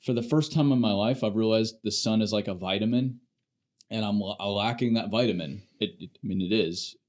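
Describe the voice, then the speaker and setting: A man with a deep, low voice hosts a casual podcast conversation. His talk is loose and chatty, full of quick, self-deprecating asides. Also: darkish tone. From Phoenix, Arizona. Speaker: podcast host. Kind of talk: casual chat.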